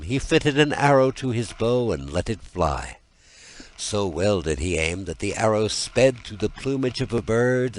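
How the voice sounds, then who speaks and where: Deep-voiced, expressive male storyteller. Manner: dramatic, deliberate storytelling. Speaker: audiobook narrator. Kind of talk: scripted fairy-tale narration.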